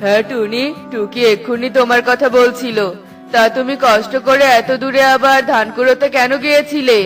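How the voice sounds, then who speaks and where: A man's voice: very high-pitched, steady tone, moderate pace, a bit bright, soft background music. A man voicing a mild, appreciative, hardworking father bird in a children's cartoon. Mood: kindly.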